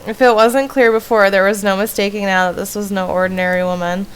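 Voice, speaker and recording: A woman's voice: mid-range voice, fairly even intonation, fairly quick, slight background noise. Woman streamer reading a horror game aloud. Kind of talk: reading a horror visual novel aloud with casual asides. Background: faint music.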